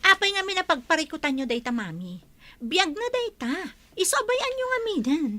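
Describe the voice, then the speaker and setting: A defiant young daughter in an Ilocano radio drama. Her voice is high and sharp, with animated, dismissive swings and an Ilocano accent. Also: moderate pace, no room sound, full character voice. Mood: defiant, irritated.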